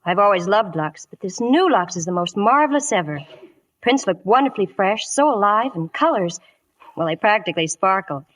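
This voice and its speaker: Casting: American woman, mid-pitched, polished and gushing, scripted vintage radio testimonial. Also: expressive, moderate pace. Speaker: actress guest giving product endorsement.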